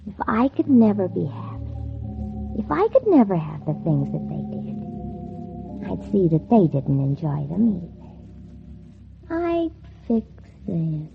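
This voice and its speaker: A woman's voice: lowish voice, very animated, measured pace, creaky, pauses often, music in the background, full character voice. An actress playing a spiteful, scheming teenage girl in a 1940s radio drama, by turns falsely innocent and coldly bitter. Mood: bitter, confiding. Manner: feigned sweet innocence turning coldly bitter. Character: scheming, spiteful teenage girl narrating her own revenge. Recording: muffled, tinny old 1940s radio recording.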